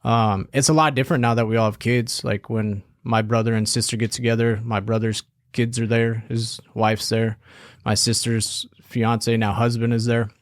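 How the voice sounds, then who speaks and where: An easygoing young man from Southern California, talking through memories in relaxed podcast chat. His voice sits at a middle pitch and comes across dry and close, with no room sound around it. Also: moderate pace, dry recording. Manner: easygoing, reflective, says "like" often. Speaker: podcast co-host. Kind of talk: casual chat.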